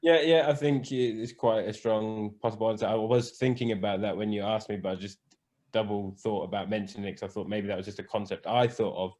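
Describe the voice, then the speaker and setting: A man with a fairly low voice talks in a casual interview. He muses hesitantly, pausing on fillers and starting sentences over as he works out his answers. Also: fairly even intonation, a little mellow. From born in England, raised in Spain, Scottish parents. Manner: hesitant, thinks aloud with fillers and restarts. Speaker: podcast guest, cruise hospitality manager.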